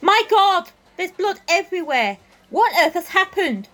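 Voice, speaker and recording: A woman playing a brisk bright security guard. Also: very high voice, big pitch swings, exaggerated voice. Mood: alarmed. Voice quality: brisk, authoritative. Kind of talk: amateur audio drama acting.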